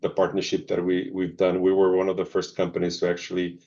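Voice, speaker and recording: A middle-aged man, answering interview questions thoughtfully and pausing often with ums and restarts. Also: deep voice, completely flat delivery, mellow. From Zimbabwe, Portuguese family. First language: Portuguese. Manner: hesitant, many ums and restarts. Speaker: pharma executive guest. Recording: dull lo-fi audio.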